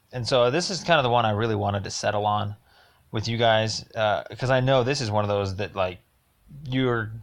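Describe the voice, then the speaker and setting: A man with a fairly low voice and a lot of gravelly fry leaves a rambling complaint as a voicemail. He speaks haltingly but insistently, leaning on his words for emphasis. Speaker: caller leaving a voicemail.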